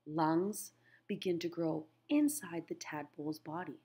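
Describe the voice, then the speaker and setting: A woman's voice: on the low side, unhurried, warm tone, breathy edge. A middle-aged woman teacher reading a children's nature book aloud, phrasing things simply and putting questions to young listeners.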